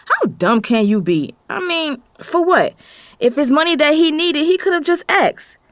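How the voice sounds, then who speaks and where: Actress playing an exasperated, expressive young woman, lively pitch. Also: unhurried, dry recording. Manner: expressive, emotive, slangy. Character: Brooklyn, young woman narrating family turmoil, protective, exasperated. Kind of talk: dramatic first-person audio drama narration and dialogue.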